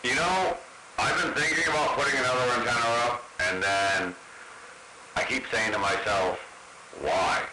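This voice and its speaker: A man's voice: medium pitch, moderate pace. A man chatting casually as an operator on CB radio. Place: over CB radio. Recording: echoey, very noisy CB radio transmission.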